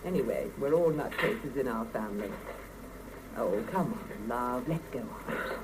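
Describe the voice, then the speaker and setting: A woman's voice: extremely low pitch, animated, measured pace. A woman playing a practical, bossy but comradely female colleague in a 1970s radio drama, blunt and protective. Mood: brisk, calming. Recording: faintly noisy old mono cassette radio recording.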